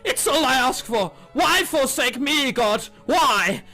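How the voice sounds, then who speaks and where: Man putting on a high wailing melodramatic student. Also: lively intonation, takes it slowly, faint music behind, exaggerated voice. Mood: dramatic despair. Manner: overwrought, wailing, shouting. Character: melodramatic cake-obsessed student, wailing in despair.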